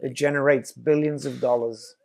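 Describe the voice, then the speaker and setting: A man's voice: mid-range voice, fairly even intonation, moderate pace, gravelly, a little mellow. A man from Belgium, a podcast guest speaking earnestly and emphatically in casual conversation.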